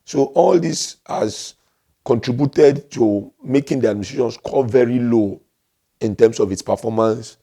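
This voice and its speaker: A man with a Nigerian accent giving political commentary in a measured, emphatic manner, repeating phrases for stress. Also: mid-range voice, warm tone.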